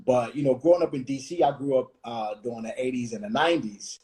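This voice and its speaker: A man in his forties from Washington, D.C., hosting a reflective conversation, thoughtful and full of pauses and restarts. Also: medium pitch, darkish tone. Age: 40s. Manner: thoughtful, many fillers and restarts. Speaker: host.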